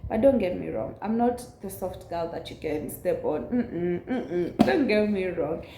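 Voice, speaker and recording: A woman in her twenties, raspy from a cold, chatting earnestly to camera in a vlog. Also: on the low side, expressive, reverberant, background noise. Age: 20s. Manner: earnest, affirming, unhurried. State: recovering from a cold. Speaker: vlogger. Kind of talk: casual chat to camera.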